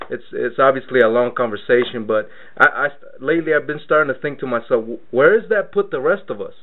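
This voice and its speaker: A man born in Mexico hosting a radio interview, talking in a loose, rambling way and repeating words as he searches for his point. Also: mid-range voice. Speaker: radio show host. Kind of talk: casual radio interview talk. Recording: tinny phone-line radio audio.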